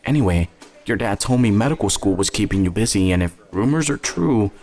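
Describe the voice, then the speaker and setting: A man's voice: lowish voice, expressive, fairly quick, a little mellow. A man voicing a kindly, affectionate older small-town woman who works at a hospital, in a light, motherly, fussing manner for an audio story. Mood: warm, fussing.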